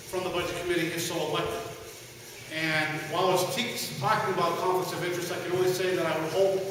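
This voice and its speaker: A middle-aged man with a loud voice, giving an earnest, plain-spoken candidate's speech with many ums and uhs. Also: sits high, background noise. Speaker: political candidate. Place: large hall at a town meeting. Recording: echoey hall recorded from far off.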